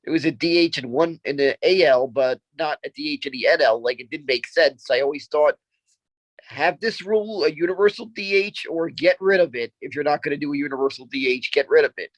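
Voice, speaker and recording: Higher-voiced man talking sports casually. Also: brisk, dry recording, poor audio. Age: 30s. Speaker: sports podcast co-host.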